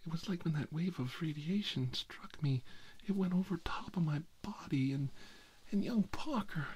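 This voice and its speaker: A man playing an unhinged, brooding middle-aged scientist villain in a whispered ASMR roleplay, muttering haltingly and repeating himself. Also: a little high, animated, darkish tone, husky, voice pushed up. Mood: anguished, defensive.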